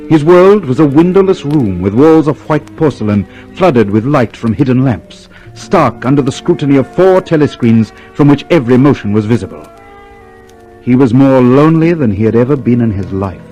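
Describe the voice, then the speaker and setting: Actor as ominous dystopian narrator, music underneath. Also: male voice, mid-range voice, animated, warm tone, highs cut off, natural voice. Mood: grave. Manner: measured, emphatic, ominous. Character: grave, pitiless narrator and inner voice of a dystopia. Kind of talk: dramatic radio narration.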